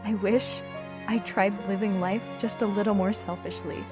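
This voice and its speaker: A woman's voice: mid-range voice, steady tone, moderate pace, over music, close to an everyday voice. A woman playing a gloomy, self-pitying teenage outcast in an anime fan dub, with a brooding, introspective delivery. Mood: wistful, resigned.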